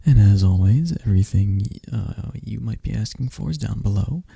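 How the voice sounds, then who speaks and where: A man hosting an ASMR channel, giving a relaxed, rambling outro to his viewers in a soft voice. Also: lowish voice, normal speed, creaky, breathy edge, dry recording. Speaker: ASMR creator.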